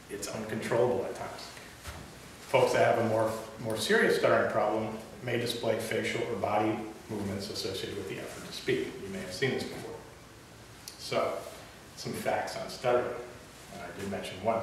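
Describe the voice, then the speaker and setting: A young man giving a prepared speech to a club audience, with occasional stutters and restarts. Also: on the low side. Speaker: club speaker. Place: meeting room. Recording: echoey room from far off, hiss.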